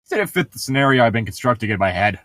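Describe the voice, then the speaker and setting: A man's voice: mid-range voice, sing-song, rapid-fire, fairly bright tone, no room sound, clean studio sound. A man co-hosting a read-aloud, delivering prose and breaking off for irreverent, sarcastic, sweary commentary. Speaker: co-host reading a novel aloud with commentary. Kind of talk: reading aloud with casual joking commentary.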